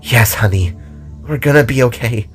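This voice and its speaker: A man's voice: mid-range voice, normal speed, lightly acted. A man performs a motherly woman in an audio drama, protective and firm. She takes command, with music playing beneath her voice. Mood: reassuring.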